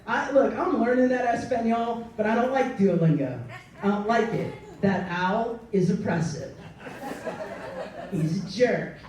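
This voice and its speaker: A woman's voice: medium pitch, expressive, a little slow, darkish tone. A woman hosting a live storytelling slam, cracking self-mocking jokes and laughing often. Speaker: host. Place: live stage before an audience. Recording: echoey room, mic from far, audience.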